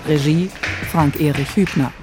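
A woman announcer reading scripted radio play credits in a measured, deliberate way. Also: low-pitched. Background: music.

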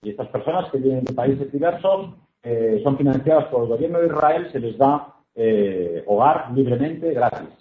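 A man's voice: mid-range voice, animated, moderate pace. A man from Spain working as a consecutive interpreter, paraphrasing each phrase into Spanish with frequent hesitations. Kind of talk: consecutive interpreting at a book presentation. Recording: echoey hall, tinny phone-like sound.

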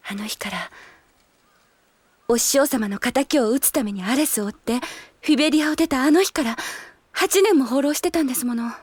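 A woman playing a reserved, world-weary young wanderer, an elder sister, in a Japanese audio drama, soft and restrained. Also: high-pitched, normal speed, husky, no echo. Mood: wistful.

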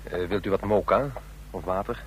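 An actor playing a chatty, obliging country stationmaster in a radio drama, solicitous and full of exclamations. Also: male voice, deep voice, normal speed, close to an everyday voice. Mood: obliging, amused. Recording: faintly noisy old radio recording.

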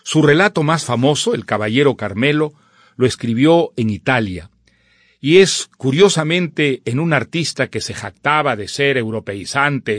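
A man giving scripted literary commentary as a presenter, in an erudite, formal and measured manner. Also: medium pitch, no room sound, clean studio sound. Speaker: presenter introducing a literary radio drama.

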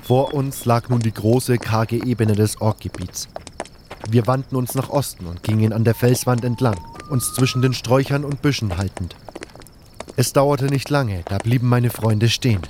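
A man's voice: mid-range voice, normal speed, a little mellow, a little hiss or hum. A man reading scripted first-person narration for an audio drama.

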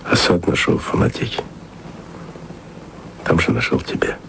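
An actor playing a wistful radio archivist who speaks softly and intimately to his beloved in a radio play. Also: normal speed, background noise, natural voice. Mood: tender. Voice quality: low, gravelly voice. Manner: soft, intimate, sometimes whispered. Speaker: radio man speaking to his distant lover.

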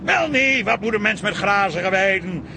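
A man's voice: sits high, expressive, normal speed, gravelly, noisy background. A man acting in a radio drama as a resentful, sarcastic grumbler who scorns country life and ranting about it. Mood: stubborn, scornful.

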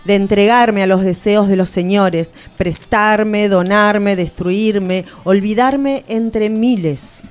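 A woman's voice: mid-range voice, takes it slowly, few pauses, faint music behind, close to an everyday voice. An actress playing a sorrowful, reproachful woman who carries ancient suffering, in a solemn radio theatre lament. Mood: reproachful, anguished. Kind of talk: declamatory radio theatre recitation.

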